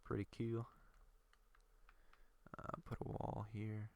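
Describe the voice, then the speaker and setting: A man's voice: lowish voice, monotone, slow pace, gravelly, a little mellow, slight background noise. A young man with a stuffy nose from a cold, rambling and thinking aloud with lots of fillers while commenting on his game. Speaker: gaming video creator. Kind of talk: casual gameplay commentary.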